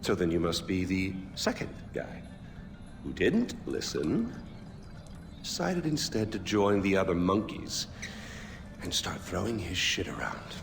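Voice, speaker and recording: An actor playing a flamboyant, smug tyrant king who mocks and threatens at a drawn-out, theatrical pace. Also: sits low, big pitch swings, noisy background, exaggerated voice. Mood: menacing. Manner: mocking, theatrical, drawn-out menace. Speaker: game villain. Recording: hall reverb with loud music.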